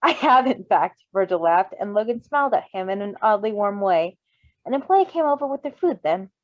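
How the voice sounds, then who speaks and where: A woman's voice: medium pitch, lively intonation, brisk, dry recording. Woman, mid-range voice, story reader and co-host, informal delivery, slightly muffled home recording. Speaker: fan fiction reader and co-host. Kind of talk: story reading, then casual excited chat.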